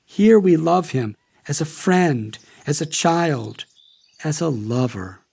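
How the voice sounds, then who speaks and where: Husky, serene holy man teaching reverently, read by a man. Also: fairly high pitch, animated, slow pace, a little mellow, exaggerated voice. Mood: serene, devout. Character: serene, mysterious, ageless male Indian holy man, devout.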